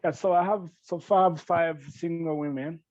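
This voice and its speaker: A man from Rwanda, speaking earnestly and gratefully as a podcast guest, with halting restarts. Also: fairly high pitch, fairly even intonation, a little mellow, dry recording, lo-fi sound. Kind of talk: podcast interview.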